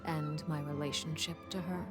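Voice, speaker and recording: An actress playing a cold, composed woman leader who speaks in a measured, condescending way. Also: low voice, steady tone, music in the background, natural voice. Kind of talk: video game voice acting.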